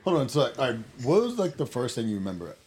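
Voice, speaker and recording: A man's voice: mid-range voice, wildly swooping pitch, fast talker, darkish tone. A young man in casual chat with friends, laid-back and slangy, often laughing.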